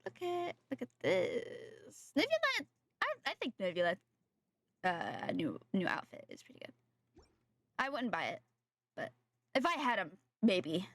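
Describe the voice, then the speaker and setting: A woman's voice: medium pitch, wildly swooping pitch, unhurried, long pauses, a bit bright, no room sound. A young adult woman streaming a game, chatting casually with viewers in a slightly nasal voice from a sore throat, rambling and correcting herself. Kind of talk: casual live-stream chat while gaming.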